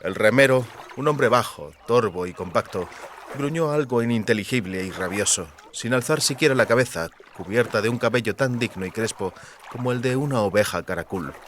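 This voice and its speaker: A man's voice: medium pitch, lively intonation, a little fast, a bit bright, a little hiss or hum. A man reading scripted literary fantasy fiction as an audiobook narrator. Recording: clear water and boat ambience behind. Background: lapping water and rowing boat sounds.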